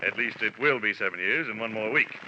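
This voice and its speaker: A gruff, self-important male office boss in a 1940s drama, stiff and businesslike. His very hard, forceful tone comes through a dull vintage recording with faint noise. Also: fairly low pitch, brisk. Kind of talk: 1940s radio drama acting. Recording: muffled, faintly noisy old radio broadcast.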